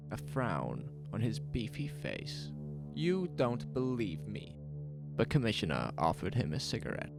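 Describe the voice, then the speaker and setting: A man narrating a horror story in a measured, dramatic, suspenseful way, in short phrases. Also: sits low, vocal fry.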